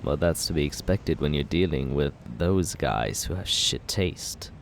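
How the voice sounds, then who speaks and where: A man playing a smug young villain with a deep, low voice, teasing and unbothered as he talks his way out of everything. He keeps it relaxed and self-justifying, with a faint hiss sitting under the ASMR roleplay. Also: unhurried, vocal fry, heavily acted. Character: cocky young male villain courting the listener.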